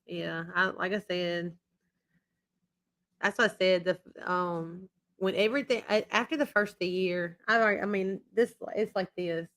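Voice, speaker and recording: A woman's voice: fairly low pitch, fairly even intonation, normal speed, a bit bright. A woman chatting casually on a live stream as the channel's host, rambling and repeating herself while losing her voice after being sick. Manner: rambling and repetitive.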